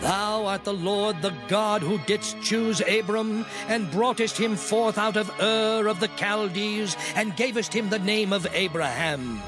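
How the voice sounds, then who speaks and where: High-voiced fervent Levite in prayer. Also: male voice, measured pace, hardly any pauses. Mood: fervent, reverent. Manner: declamatory, reverent. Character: Levite leading the people in fervent public prayer. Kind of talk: dramatized scripture reading. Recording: music behind.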